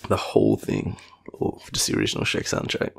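A tired young Australian man rambling in an intimate boyfriend roleplay, with a husky, gravelly voice and a playful, teasing, halting manner. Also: fairly bright tone. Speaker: boyfriend roleplay audio performer.

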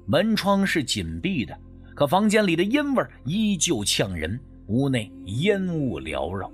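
Male narrator for suspense fiction: slightly high voice, highly expressive delivery, scripted and dramatic. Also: measured pace, soft background music. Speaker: audiobook narrator.

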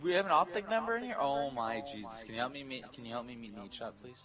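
A young man chatting and joking with friends while playing an online shooter, often jumping into squealing outbursts. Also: fast talker. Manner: excitable, laughing, jumping into high squeals. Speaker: gamer recording gameplay. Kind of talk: casual gaming chat with friends. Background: loud game music.

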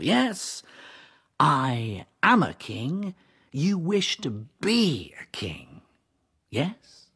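Sly medieval king, breathy, slow, swooping pitch, insinuating, historical radio drama. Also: heavily acted. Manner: insinuating, punctuates points with a questioning yes. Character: wily, smooth-talking medieval French king, scheming.

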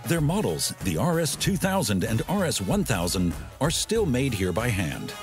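A man reading scripted documentary voice-over in a measured, informative way. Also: medium pitch, huge pitch swings. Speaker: documentary narrator. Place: studio voice-over. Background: background music.

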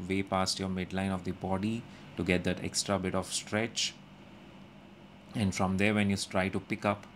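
A young man calmly narrating his gym workout as a voice-over, explaining each step with frequent pauses. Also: low voice, a little hiss or hum. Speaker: fitness vlogger. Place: indoor gym.

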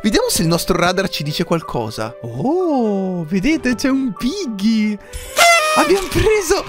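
A young man gives playful, excited commentary on a video game as a YouTuber. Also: sits high, a little slow, faint music behind. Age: young adult. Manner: playful, animated, often breaking into giggles. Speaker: gaming YouTuber.

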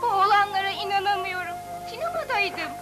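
A woman's voice: extremely high pitch, lively intonation, fairly quick. A woman voicing a chirpy young girl in a dubbed cartoon, exclamatory and full of wonder. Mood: amazed. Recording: cartoon dub over music.

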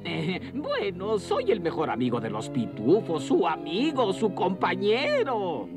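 A man's voice: sits high, wildly swooping pitch, unhurried, loud music behind the voice, heavily acted. A man playing a scheming, hot-tempered middle-aged wizard in a cartoon, theatrical and sly. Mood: sly, falsely friendly. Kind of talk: exaggerated cartoon villain acting.

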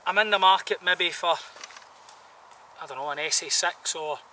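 Bright-voiced man, outdoors. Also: high voice, moderate pace. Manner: informal, colloquial, rambling. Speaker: bushcraft gear reviewer. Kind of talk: casual outdoor product review to camera. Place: outdoors in a woodland camp. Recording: distant outdoor mic, some background noise.

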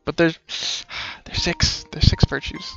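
A man's voice: slow, sharp tone, lo-fi sound. A man in his thirties giving casual, wry live commentary on a game, muttering asides. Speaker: game streamer. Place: indoor room at home. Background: game music.

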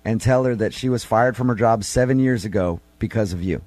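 A man's voice: lowish voice, fairly quick, a little mellow, no echo. A man hosting a morning radio talk show, bantering in a joking, sarcastic way.

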